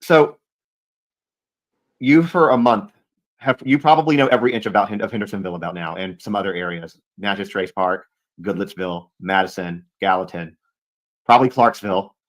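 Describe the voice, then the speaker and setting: A man's voice: fairly low pitch, a little fast. A man hosting a livestream interview, choosing his words carefully and often restarting. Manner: hedging, careful wording, many restarts. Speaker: livestream show host. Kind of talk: interviewing a guest.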